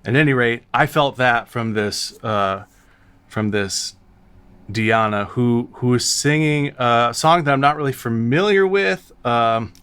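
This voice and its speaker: An American man hosting a reaction video, talking off the cuff in an animated, exclamatory, halting and rambling way. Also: medium pitch, clear, resonant tone. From United States. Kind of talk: casual, off-the-cuff reaction commentary. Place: studio.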